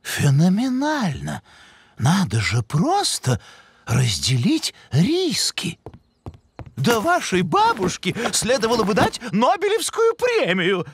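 A man's voice: sits high, huge pitch swings, slow pace, a little hiss or hum, full character voice. An actor playing a pompous, bookish male moose scientist in a cartoon who lectures and exclaims theatrically. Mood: lecturing. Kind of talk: cartoon voice acting. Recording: cartoon soundtrack with music.

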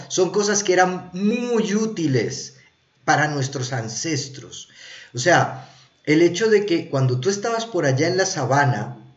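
A man, explaining things casually and conversationally as a streamer, often checking in with rhetorical tags. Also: on the high side. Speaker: streamer reviewing films and TV. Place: small indoor room. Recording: roomy, echoey, slightly dull sound.

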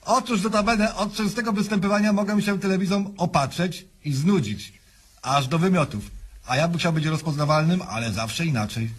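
A man's voice: sits high, moderate pace, slightly rough. A man playing a smug, jocular presidential candidate in a radio comedy sketch, pompous and mangling his grammar. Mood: self-satisfied. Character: smug, cheerful male presidential candidate, crude, self-satisfied patient. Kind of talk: satirical radio sketch acting.

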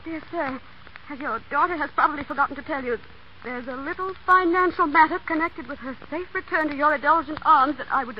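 An actress playing a spoiled, heartbroken young heiress in a brittle, sarcastic 1930s American radio drama style. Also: female voice, high-pitched, close to an everyday voice. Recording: muffled, noisy 1939 radio broadcast.

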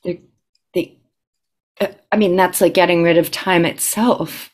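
A woman playing a flustered, melodramatic character with a halting, earnest delivery in improvised comedy. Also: lowish voice, fairly even intonation. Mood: flustered, dramatic.

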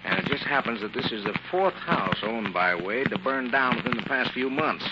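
A dogged American police inspector, played by a man in a 1940s radio play, gruff and medium in pitch. The old recording is muffled and full of loud noise. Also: animated, moderate pace, natural voice. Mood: businesslike.

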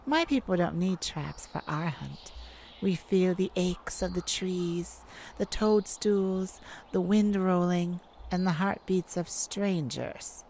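A woman's voice: on the low side, slow, gravelly, a little mellow, slight background noise. A woman voicing a wicked, taunting forest nymph in a theatrical ASMR roleplay, teasing and menacing, drawing out her words. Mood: sly menace.

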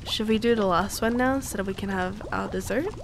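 A woman playing a cute, kind and teasing young alchemist girl in an ASMR roleplay, speaking softly and soothingly close to the mic. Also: medium pitch, noisy background, close to an everyday voice. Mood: playful, cozy. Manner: soft, soothing, unhurried, playfully reassuring.

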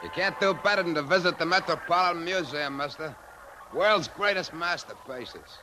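A man's voice: on the high side, normal speed, lightly acted. An actor with an American accent playing a brash, greedy cab driver and gunman in a 1950s radio drama. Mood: chatty, salesy. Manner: brash, colloquial. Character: chatty pedicab driver turned greedy gunman. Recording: 1950s radio drama, faint background noise.

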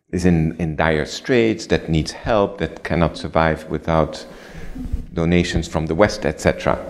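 A man with a very low, deep voice answers questions after a museum lecture. He explains things in a measured way and often pauses or restarts. He speaks into a lectern microphone in a darkened lecture hall. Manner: measured, with frequent ums and restarts.

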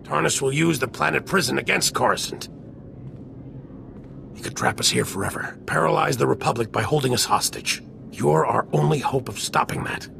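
An actor playing a grave, commanding Republic general in a video game, speaking with stern authority. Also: male voice, mid-range voice, moderate pace, creaky, background noise. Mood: alarmed, grave. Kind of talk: video game cutscene acting.

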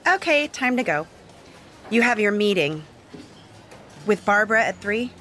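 A woman reading a scripted coursebook dialogue as a magazine colleague, clear and polite. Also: mid-range voice, sing-song, measured pace, fairly bright tone, a little hiss or hum. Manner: clear, polite and matter-of-fact.

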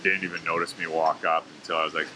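Low-voiced man telling a casual travel story. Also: quick pace. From Canada. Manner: relaxed, chatty, animated storyteller with lively asides. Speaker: adventure vlogger. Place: outdoor seaside cafe and on the water in a kayak. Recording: outdoor recording with clear background noise.

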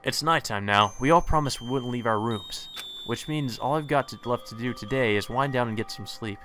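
A man's voice: medium pitch, a little fast, a bit bright, a little hiss or hum. A man reading a visual novel aloud as a let's play host, mixing narration with casual commentary. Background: game music.

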